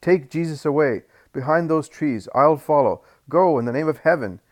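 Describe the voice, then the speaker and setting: A man reading a storybook, voicing a bold disciple shouting orders and threats at a crowd. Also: fairly high pitch, moderate pace, pitched above the performer's own voice, natural voice. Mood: urgent, defiant.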